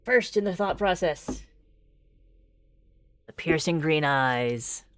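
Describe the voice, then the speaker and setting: A woman's voice: low-pitched, animated, takes it slowly, fairly bright tone, no room sound. A woman streaming a visual novel, moving between casual, rambling commentary and dramatic read-aloud narration.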